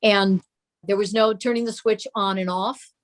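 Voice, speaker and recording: A woman's voice: mid-range voice, fairly even intonation, moderate pace. An older woman talking as an interview guest, recalling family memories conversationally. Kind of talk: reminiscing in conversation.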